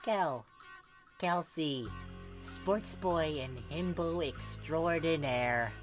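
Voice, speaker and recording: A woman's voice: low voice, very slow, soft background music, pitched above the performer's own voice. A woman voicing a brash, foul-mouthed tough teenage girl with a grumbly, exasperated manner. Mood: menacing, exasperated.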